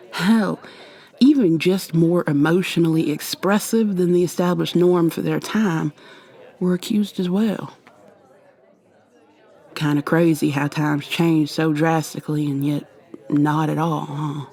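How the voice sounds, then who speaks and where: Woman acting as modern witch, American accent, husky soft voice, slow delivery, affectionate teasing, intimate ASMR roleplay. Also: on the low side, full character voice. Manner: teasing, affectionate, conversational with trailing pauses. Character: playful, flirty modern witch mentoring a new coven member.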